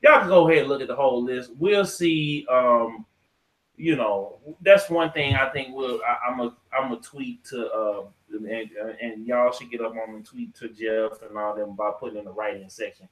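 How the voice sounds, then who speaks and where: A man's voice: mid-range voice, normal speed, low-quality recording. A man hosting a podcast in an informal, slangy way, chatting casually and reading through lists. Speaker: podcast host.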